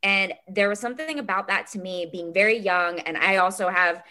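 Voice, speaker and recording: A woman in her thirties, a filmmaker guest chatting casually in an interview, talking in a quick, youthful way. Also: mid-range voice, pushed and bright. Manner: quick, chatty, self-described teenage way of talking.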